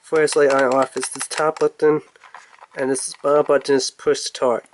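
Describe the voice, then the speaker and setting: A man's voice: mid-range voice, level tone, moderate pace, slight background noise. A man giving casual, off-the-cuff commentary as he reviews a toy in an unboxing video. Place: indoors at a table.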